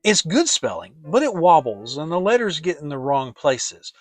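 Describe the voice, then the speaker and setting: A simple, good-hearted toy bear played by a man from a children's storybook. He speaks fairly high, with a melodic swing. He sounds earnest and innocent. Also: sing-song, moderate pace. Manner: earnest, naive. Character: kindly, simple-minded, honey-loving male toy bear.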